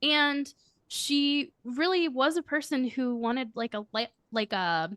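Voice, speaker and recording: A woman with a high, girlish voice answering interview questions, speaking earnestly and reflectively as a coach who leads a firm. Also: slow pace, fairly bright tone, clear, resonant tone, dry recording, clean studio sound. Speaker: guest, coach and firm leader.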